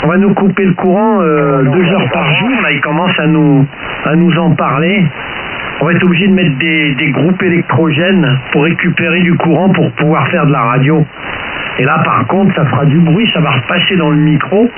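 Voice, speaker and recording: A man's voice: fairly high pitch, moderate pace. A man from France chatting casually on amateur radio, repeating phrases and tagging sentences with "hein". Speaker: amateur radio operator leading the exchange. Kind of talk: casual ham radio chat. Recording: noisy, hissy phone-like amateur radio link. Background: clear radio hiss.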